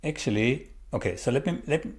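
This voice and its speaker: A man's voice: mid-range voice, animated, a little fast. A man with a mid-range voice interviews a guest. He asks questions carefully and stops to correct and restart himself.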